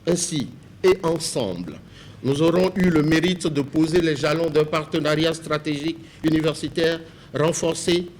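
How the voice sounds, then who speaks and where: A man's voice: a little high, moderate pace, a little mellow, a little hiss or hum. A man reading a formal opening speech as an official.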